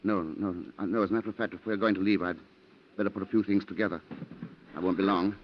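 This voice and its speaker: An actor playing a devoted, anxious colonial planter, earnest and emotional, in a 1940s radio drama. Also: male voice, low voice, fairly even intonation, fast talker, a little hiss or hum, close to an everyday voice. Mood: uneasy. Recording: muffled 1940s radio recording.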